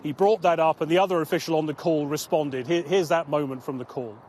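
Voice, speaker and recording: A man's voice: fairly high pitch, steady tone, quick pace, darkish tone. A man working as a news correspondent, giving a live explanatory report. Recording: outdoor report with clear background noise.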